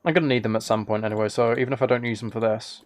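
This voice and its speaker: A man's voice: medium pitch, fairly even intonation, racing. A man live streaming a game, rambling and thinking aloud as he chats casually with viewers. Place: indoors at a computer, keyboard clicks behind.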